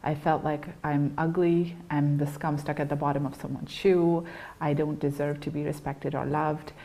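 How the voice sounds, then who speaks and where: Low-voiced woman telling her story haltingly. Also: level tone, mellow. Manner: earnest, halting, with many fillers and restarts. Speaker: guest. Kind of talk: personal testimony in an interview.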